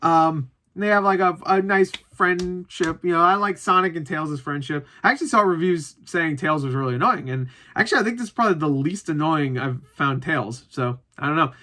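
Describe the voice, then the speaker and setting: A man with a bright, punchy voice pitched a little high talks casually as a reviewer. He rambles through his opinions without a script, doubles back to correct himself and swears freely. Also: fairly quick, few pauses. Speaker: movie reviewer. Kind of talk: casual unscripted review chat to viewers.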